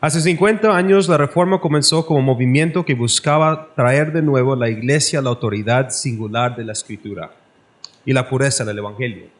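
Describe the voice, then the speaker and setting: A man from Canada giving a formal lecture from his notes, careful and measured, slightly halting. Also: medium pitch. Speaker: guest lecturer.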